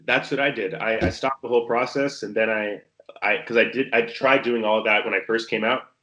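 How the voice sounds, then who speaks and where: A low-voiced man in his thirties from the Bahamas talks in a laid-back, filler-heavy way as a podcast guest. His pitch stays level and flat, and his tone is bright and forceful. He tells his story in a relaxed, unhurried manner. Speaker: guest, pro basketball player. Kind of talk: casual interview chat.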